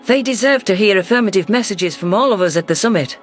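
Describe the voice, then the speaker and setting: A man's voice: high voice, expressive, quick pace, faint music behind. A man narrating a science fiction story in the first person, reading a scripted text.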